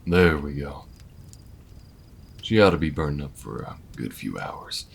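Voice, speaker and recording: A man playing an easygoing, weathered alien bounty hunter in an intimate audio roleplay, talking in a folksy, unhurried way with murmured asides. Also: very deep voice, very animated, gravelly, husky, exaggerated voice. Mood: gently curious.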